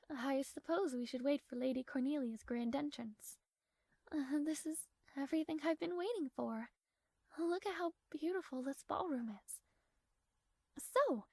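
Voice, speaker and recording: A woman's voice: high voice, lively intonation, measured pace, a little mellow, no echo. A woman playing a proud, strong-willed young princess in a romantic fantasy audio roleplay, speaking formally with haughty, flustered hesitations. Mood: excited, then curious, probing.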